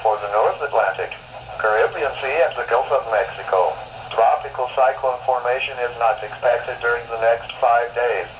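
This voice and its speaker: A man's voice: medium pitch, moderate pace, muffled recording. Synthetic male weather radio announcer through noisy radio. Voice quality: synthetic text-to-speech voice. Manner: flat, formal, segmented reading. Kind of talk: scripted weather report readout.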